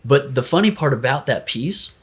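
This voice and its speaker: An American man in a podcast conversation, speaking as a financial advisor. His voice sits at an ordinary male pitch. He explains through animated stories and often tags his points with "right?". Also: moderate pace.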